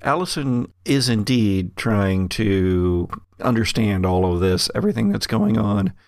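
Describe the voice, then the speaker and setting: A man's voice: fairly low pitch, measured pace, a little mellow, no room sound, clean studio sound. A man hosting as game master, improvising tabletop game narration and talking conversationally to his players. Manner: conversational, addresses players directly. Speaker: game master and podcast host.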